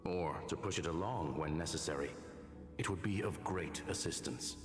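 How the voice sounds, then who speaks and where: Very deep, solemn man. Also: moderate pace, soft background music. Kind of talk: video game voice acting.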